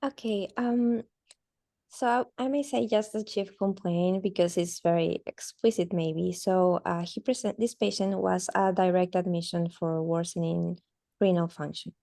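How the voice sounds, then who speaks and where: A woman's voice: lowish voice, fairly even intonation, measured pace, vocal fry, mellow, dry recording, clean studio sound. A woman who is an internal medicine resident presenting a case on a video call, nervous and giggly.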